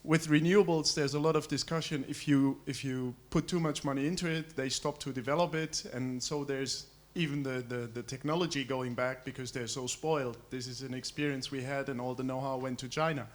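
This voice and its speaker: A man's voice: mid-range voice, steady tone, brisk, hardly any pauses. A man in the seminar audience arguing a point and asking a direct question of the panel.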